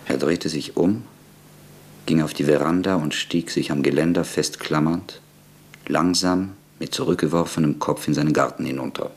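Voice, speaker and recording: A man narrating a literary radio drama in a measured, grave way. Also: extremely low pitch, steady tone, slightly rough.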